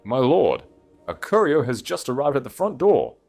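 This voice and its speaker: A man's voice: medium pitch, wildly swooping pitch, moderate pace, vocal fry, darkish tone, faint music behind, voice pushed up, heavily acted. A man voicing a deferential, uncomfortable valet in a period audiobook. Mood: uneasy, formal. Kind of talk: audiobook character dialogue.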